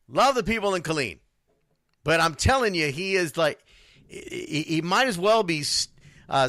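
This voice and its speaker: A man's voice: fairly high pitch, sharp tone, no echo, studio quality. A man hosting a casual radio show, talking informally and animatedly. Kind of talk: casual live sports radio talk with read sponsor spots.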